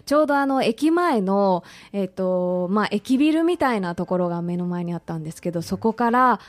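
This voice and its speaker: A woman's voice: medium pitch, animated, a little fast, dry recording. A woman talking conversationally as a radio guest, politely and expressively sharing personal recollections.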